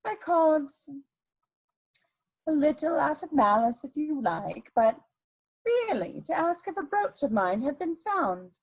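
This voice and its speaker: Worldly society adventuress, woman performer, high and lilting with big pitch swings; coquettish, theatrical, scheming, for a period comedy play. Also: big pitch swings, exaggerated voice, low-quality recording. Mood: airy, evasive. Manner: theatrical, coquettish, drawn-out emphasis.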